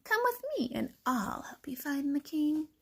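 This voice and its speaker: A woman's voice: fairly high pitch, very animated, fairly bright tone. Woman as a sly, purring storybook fox. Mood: feigned helpfulness. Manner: slow, oily, drawn-out purring. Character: sly, smirking, hungry male fox with false courtesy.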